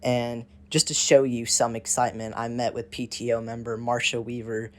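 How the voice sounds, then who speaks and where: A man's voice: medium pitch, little pitch movement, normal speed. A teenage boy reporting on his school's volleyball team in an upbeat, scripted way. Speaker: student reporter. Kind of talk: reporter segment.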